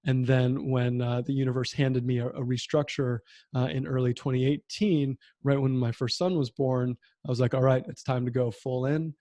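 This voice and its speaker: A man in his thirties, a podcast host, telling his own story in a reflective way with many pauses and fillers over a video call. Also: medium pitch, level tone, warm tone, no echo. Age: 30s. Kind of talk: conversational interview. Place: home room with bookshelf.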